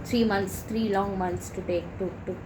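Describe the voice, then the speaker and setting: A woman's voice: medium pitch, fairly even intonation, moderate pace. A woman chatting casually and enthusiastically to viewers as a book review vlogger, gushing as she goes. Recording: clear background noise.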